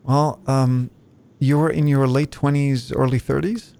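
A man's voice: mid-range voice, level tone, a little slow, vocal fry, mellow, a little hiss or hum. A man in his forties with an Israeli accent and Hebrew as his first language, hosting a podcast in a chatty, joking way. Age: 40s. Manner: chatty, joking, many fillers. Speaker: podcast host. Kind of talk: casual podcast interview.

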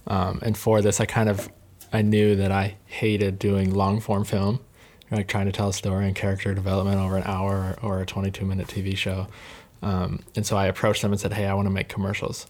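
Low, flat-voiced young man co-hosting. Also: fairly quick. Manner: interviewing, prompting with questions. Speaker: podcast co-host. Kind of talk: casual conversational podcast.

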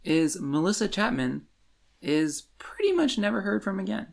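Creaky-voiced man thinking aloud on a podcast. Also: high-pitched, very animated, normal speed. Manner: hedging, informal, thinks aloud. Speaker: podcast co-host. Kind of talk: casual book discussion.

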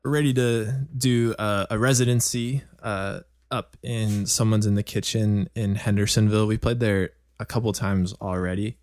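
A man's voice: fairly low pitch, steady tone, moderate pace, hardly any pauses, darkish tone, no room sound, studio quality. A man making wry, joking remarks in a casual band podcast.

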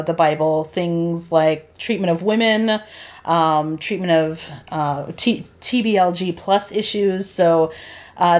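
A woman's voice: fairly low pitch, a little mellow. A young woman from the Chicago area co-hosting a casual podcast chat, laughing often between her phrases. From Chicago area, Illinois. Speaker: podcast co-host.

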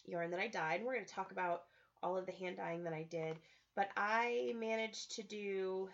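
A woman's voice: medium pitch, moderate pace, vocal fry, a bit bright. A woman hosting a podcast and chatting casually, rambling a little with frequent "um"s while she fights a cold. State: has a cold. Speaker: podcast host. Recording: slightly dull lo-fi recording.

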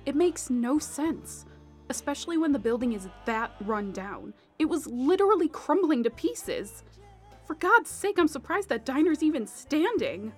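A woman's voice: sits high, big pitch swings, a little slow, soft background music. A young woman acting in an audio drama as a skeptical, grieving daughter who mutters to herself in disbelief. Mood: baffled.

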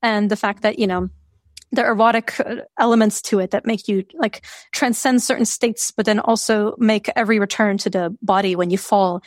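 A woman's voice: mid-range voice, steady tone, a little fast, no room sound. Serbian-born woman, musing and casual, dry room. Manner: thoughtful, many fillers and restarts, laughs easily. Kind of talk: casual discussion.

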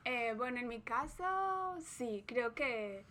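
A woman from talking as a guest scientist in an unhurried, friendly way. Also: fairly high pitch, a bit bright. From Colombia. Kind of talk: relaxed interview chat.

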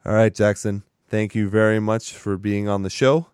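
A man hosting a casual podcast chat with a hoarse, raspy voice that is strained from sickness; he talks in a chatty, rambling way and laughs often. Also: on the low side, level tone, normal speed, a little mellow, faint music behind. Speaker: podcast host.

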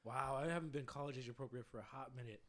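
A man in his twenties, co-hosting a casual podcast, jumping in with loud, profane jokes and interjections. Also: mid-range voice, rapid-fire. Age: 20s. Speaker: podcast co-host. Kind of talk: casual chat.

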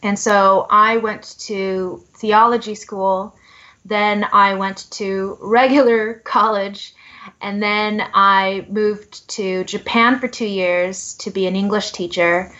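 A young adult woman from Southern California, a podcast guest telling her life story in a reflective, casual way with fillers and soft laughs. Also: medium pitch, steady tone, slow pace. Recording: slightly muffled lo-fi audio.

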